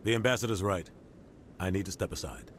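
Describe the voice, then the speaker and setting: An actor playing a seasoned military captain in a video game, speaking in an earnest, blunt and weighty way. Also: male voice, low voice, brisk, fairly bright tone, slight background noise. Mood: grave. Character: grave, battle-seasoned male military captain. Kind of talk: dramatic video game dialogue.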